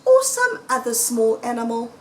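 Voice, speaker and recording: A woman voicing a cocky but careful male fox in a children's storybook, self-assured and measured. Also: on the high side, very animated, darkish tone, slight background noise. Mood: cautious, musing. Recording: roomy echo, distant microphone.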